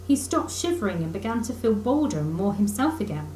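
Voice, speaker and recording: A woman reading a children's book aloud in expressive storybook narration, like a teacher reading to pupils. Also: medium pitch, fairly quick. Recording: echoey room with faint noise.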